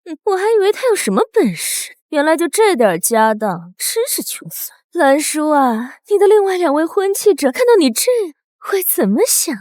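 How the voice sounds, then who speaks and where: A villainous eldest princess, high-voiced and very expressive. She is haughty, scornful and calculating, recorded dry and close for an animated drama. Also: normal speed, dry recording. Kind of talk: animated short-drama dubbing.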